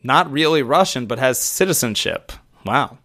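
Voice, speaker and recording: A man's voice: medium pitch, animated, moderate pace, fairly bright tone. A man co-hosting a casual podcast who rambles through lists, laughs often and swears now and then. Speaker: podcast co-host.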